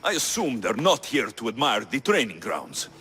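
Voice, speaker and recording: Forceful mercenary hero. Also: male voice, mid-range voice, sing-song, moderate pace, slight background noise. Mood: challenging. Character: capable, earnest mercenary hero of ancient Greece. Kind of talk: video game cutscene acting.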